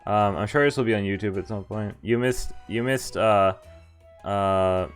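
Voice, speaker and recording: Low-voiced man streaming a game. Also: measured pace, clear, resonant tone. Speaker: streamer playing a visual novel. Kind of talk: casual game stream, reading dialogue aloud with commentary. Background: game music.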